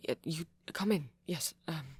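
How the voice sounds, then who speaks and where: Man acting as a soft, breathy, shy British vampire boy. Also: on the high side, dry recording. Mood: shy, flustered. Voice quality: soft, breathy, often near whisper. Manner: stammering, halting, flustered and apologetic. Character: shy, nervous young male vampire on a date. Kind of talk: ASMR boyfriend roleplay, intimate acting.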